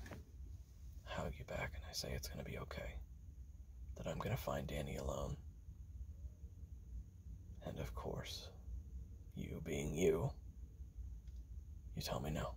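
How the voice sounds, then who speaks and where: Man acting as treacherous male assassin, rock-bottom pitch, heavy vocal fry, hushed deliberate second-person roleplay narration. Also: heavily acted. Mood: tender, hushed. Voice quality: breathy whispering with gravelly fry. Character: treacherous male assassin recounting his betrayal to you.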